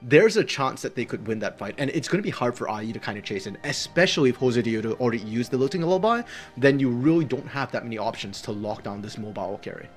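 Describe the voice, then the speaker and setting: A man's voice: medium pitch, expressive, fast talker, faint music behind. A man giving esports colour commentary, explaining strategy at length in an analytical way.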